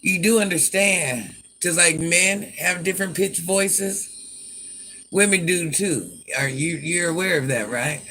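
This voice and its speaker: Deep-voiced grandmother, sassy and bright. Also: unhurried, low-quality recording. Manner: sassy, talks back to commenters by name. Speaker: livestream host. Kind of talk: casual livestream chat.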